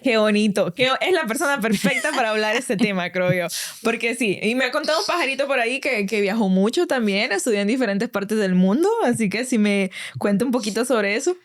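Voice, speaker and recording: A woman in her twenties from El Salvador, hosting a podcast interview in a friendly, polite way, often filling pauses with "este". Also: on the high side, expressive. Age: 20s. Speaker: podcast host. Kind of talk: casual interview chat.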